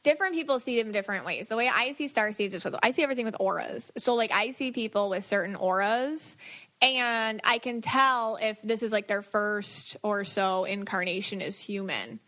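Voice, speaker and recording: A woman's voice: medium pitch, normal speed, fairly bright tone. A woman co-hosting a podcast in casual, chatty conversation, talking informally with lots of "like". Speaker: podcast host, psychic aura reader.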